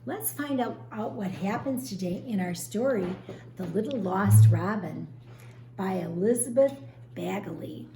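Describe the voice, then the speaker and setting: A woman teacher reading a storybook aloud expressively to young children, pausing to explain and ask questions. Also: medium pitch, lively intonation. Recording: roomy echo, faint background noise.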